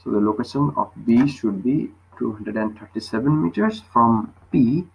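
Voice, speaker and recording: A man's voice: mid-range voice, animated, normal speed, creaky, breathy edge, rough recording. A man tutoring physics, explaining a worked problem step by step and often checking with short questions.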